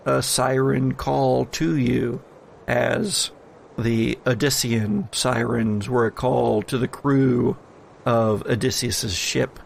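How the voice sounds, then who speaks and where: Man, game master, unhurried pace, creaky voice, dramatic horror storytelling, deliberate pauses. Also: medium pitch, a little hiss or hum. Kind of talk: narrating a tabletop horror game.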